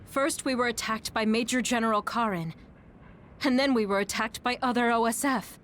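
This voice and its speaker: Higher-voiced serious young woman soldier played by an actress. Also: steady tone, normal speed, fairly bright tone, slight background noise, lightly acted. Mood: troubled. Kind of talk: video game cutscene voice acting. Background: music.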